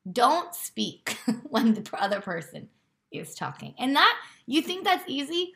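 Chatty bright-voiced woman with gravelly edge. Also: mid-range voice. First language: Romanian. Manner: chatty, uses "like" and "you know" a lot. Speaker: podcast co-host. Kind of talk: casual chat.

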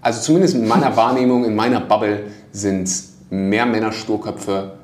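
A man hosting a podcast in casual conversation, talking quickly with many restarts and laughing things off. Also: lowish voice, steady tone, a little mellow. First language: Russian. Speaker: podcast host. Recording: echoey room.

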